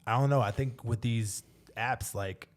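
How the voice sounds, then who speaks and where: A man's voice: medium pitch, measured pace. A man in his thirties hosting a podcast, talking loosely with lots of "like" and some mild swearing. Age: 30s. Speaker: podcast host. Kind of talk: casual podcast interview.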